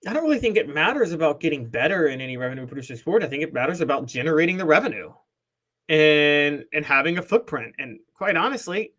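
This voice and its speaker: Co-host, man, 30s, higher voice with a bright tone, opinionated analyst. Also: very animated, quick pace. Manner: opinionated, analytical, explains at length. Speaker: sports talk co-host. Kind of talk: casual sports talk.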